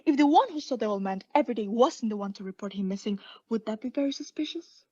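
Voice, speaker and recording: A young woman playing a guilty, unhinged caretaker in a radio play, breathy and often whispering, with halting, insistent self-justification. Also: fairly high pitch, lively intonation, a little mellow, muffled recording. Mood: smug, unhinged. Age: young adult. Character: guilty, unhinged caretaker, anxiously feigning calm politeness. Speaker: student actor.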